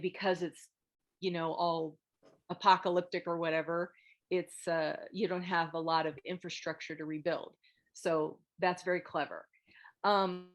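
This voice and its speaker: A woman hosting an online group critique, giving frank, thoughtful script feedback in a halting, casual way over a video call. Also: lowish voice, dull audio. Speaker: host of a table read meet-up giving script feedback. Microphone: video call microphone.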